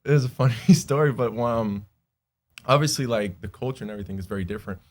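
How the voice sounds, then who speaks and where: A man's voice: medium pitch, fast. Chatty young man from Honduras. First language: Spanish. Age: 20s. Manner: chatty, laughs often, many fillers. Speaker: guest. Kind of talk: casual podcast chat.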